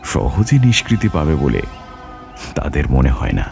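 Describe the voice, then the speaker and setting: A man with an extremely deep, gravelly voice narrates an adventure tale. His delivery is dramatic and scripted, in the manner of an audio-story narrator, with soft music underneath. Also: big pitch swings, a little slow.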